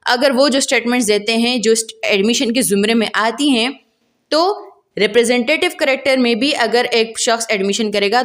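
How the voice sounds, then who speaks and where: A woman with a Pakistani accent teaches law in a somewhat high voice. She explains didactically, switching between her own language and English. She often asks a question and then answers it herself. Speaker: law lecturer. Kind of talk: explanatory lecture mixing Urdu and English.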